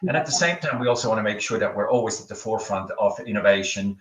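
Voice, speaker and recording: A middle-aged man from Switzerland with a Swiss accent, giving a conference talk over a video call in a relaxed, halting way with many ums and restarts. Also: on the low side, a crowd in the background. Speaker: keynote speaker. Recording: echoey room, from far off.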